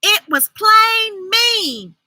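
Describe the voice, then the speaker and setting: Woman doing a high, indignant young schoolgirl. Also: lively intonation, drawn-out words, pushed and bright, dry recording, exaggerated voice. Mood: hurt, indignant.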